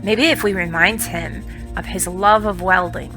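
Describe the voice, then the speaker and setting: A woman's voice: lowish voice, steady tone, unhurried, sharp tone, soft background music, low-quality recording. A woman hosting a let's play, commenting casually and curiously, congested from a cold. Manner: chatty, curious.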